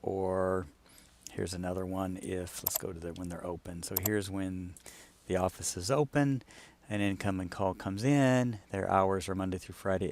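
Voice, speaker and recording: A man with a fairly low voice walks through something on screen in an informal way. He explains it like a client briefing someone on a project, thinking aloud as he demonstrates. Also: darkish tone, slight background noise. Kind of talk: casual screen-share walkthrough.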